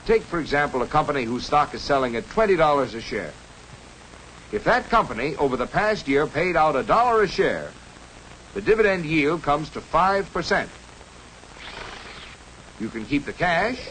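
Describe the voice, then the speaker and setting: Educational cartoon stockbroker: American, higher-pitched, lively delivery, reassuring salesman's patter, 1950s film soundtrack. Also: normal speed, slight background noise, low-quality recording. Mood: reassuring, explaining. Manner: instructive, salesmanlike. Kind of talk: 1950s educational cartoon narration, scripted explaining. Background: music.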